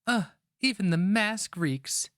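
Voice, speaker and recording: A man's voice: high-pitched, animated, slow pace, fairly bright tone, no room sound, clean studio sound, voice pushed up, full character voice. A man voicing a naive, horrified young girl with a hesitant, wincing delivery in a fantasy story. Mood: disgusted, aghast. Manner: gasping questions, wincing, hesitant.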